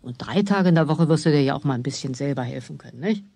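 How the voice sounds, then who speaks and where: Older working grandmother, gravelly, mellow-dark tone, unfussy, matter-of-fact, children's radio play. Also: sits low, expressive, fast, dry recording, full character voice. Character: brisk, unfussy working grandmother, a cleaning lady.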